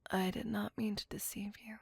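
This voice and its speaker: Woman doing asmr vampire lady, centuries old, super breathy whispering with some gravel in it, dark n possessive, old fashioned wording. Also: medium pitch, level tone. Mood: remorseful, tender. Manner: slow, formal, archaic, menacingly tender. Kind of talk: intimate ASMR roleplay.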